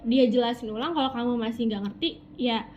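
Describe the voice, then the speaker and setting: A woman's voice: on the high side, a little fast, a little mellow. A young woman from West Java, a university student, answering interview questions in a chatty, informal way with lots of fillers. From West Java (Sundanese region), Indonesia. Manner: chatty, informal Jakarta slang, frequent fillers. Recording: muffled lo-fi audio with faint background noise.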